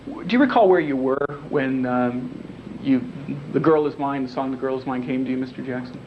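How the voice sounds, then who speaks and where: A man acting as the lawyer in a deposition, questioning a witness in a halting way and repeating words as he forms his questions. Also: mid-range voice, slight background noise. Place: deposition room, indoors. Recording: dull lo-fi videotape recording.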